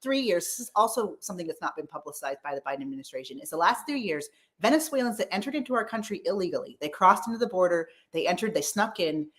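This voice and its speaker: A woman's voice: lowish voice, animated, fast, few pauses. A woman in her thirties from California, talking politics in a rambling, emphatic way with many restarts. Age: 30s. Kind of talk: casual political chat.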